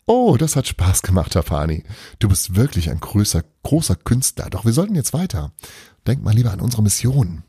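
Man putting on a cautious, husky storybook girl. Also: on the low side, big pitch swings, normal speed, mellow, no room sound, clean studio sound, pitched above the performer's own voice, exaggerated voice. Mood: coaxing.

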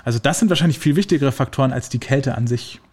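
A man talking through health science in a relaxed, conversational way. He pauses often on fillers and works through everyday examples. His pitch sits in the middle range for a man. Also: slightly rough. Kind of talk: casual explanatory conversation.

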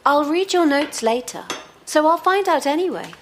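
An actress playing a sharp young woman, a doctor's daughter, with a dry, probing, teasing manner in an audio drama. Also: high-pitched, lively intonation, moderate pace, a little hiss or hum. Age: young adult.

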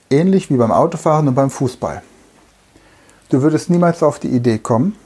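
A man's voice: mid-range voice, mellow, slightly rough. A middle-aged man who is a language trainer, giving an explanatory talk and addressing the listener directly with everyday examples. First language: German. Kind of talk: explanatory lecture monologue.

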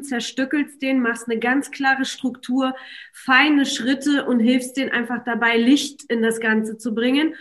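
A woman hosting an interview, summing up and praising her guest's work. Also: on the high side. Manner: warmly summarizing and praising the guest. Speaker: podcast host, interviewer. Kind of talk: casual interview talk.

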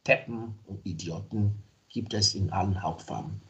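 Middle-aged guy from nigeria, guest on a podcast, lowish voice, lots of ums and restarts. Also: normal speed, lo-fi sound. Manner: hesitant, many fillers and restarts. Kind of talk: casual interview talk.

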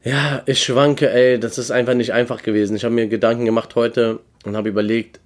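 A young man chatting casually on a podcast with his co-host, weighing which rap albums he liked. He uses loose slang and often restarts his sentences, in a voice of ordinary middle pitch. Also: flat delivery, fairly quick. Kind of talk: casual chat about rap music.

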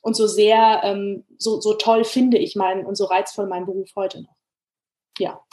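A woman in her thirties from Germany, an airline pilot telling her story in an interview, talking lively with many fillers. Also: mid-range voice, moderate pace, rough recording. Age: 30s.

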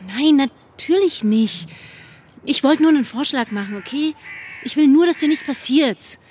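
An actress playing a secretive, uneasy young undercover activist in a naturalistic audio drama, speaking casually and hesitantly. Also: female voice, high-pitched, animated, moderate pace, darkish tone, a little hiss or hum. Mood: nervous, placating. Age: young adult. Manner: hesitant, placating, casual youthful speech. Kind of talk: naturalistic fiction podcast acting.

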